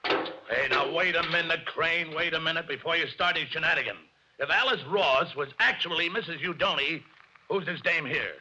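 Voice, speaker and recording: An actor playing a gruff, skeptical police lieutenant in a 1940s radio drama, with a husky, tough-talking manner. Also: male voice, a little high, moderate pace, bright, forceful tone, exaggerated voice. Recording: dull 1940s radio broadcast recording.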